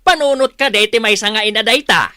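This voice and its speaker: A woman voicing a calm, approving village father in an Ilocano comedy radio drama, measured and reassuring. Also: on the high side, dry recording.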